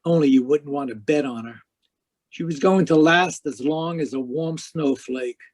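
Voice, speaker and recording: An actor playing a cynical, wry private eye in terse, slangy 1940s radio detective style. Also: male voice, on the high side, normal speed, close to an everyday voice. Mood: wry, world-weary. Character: cynical wisecracking male hardboiled private eye.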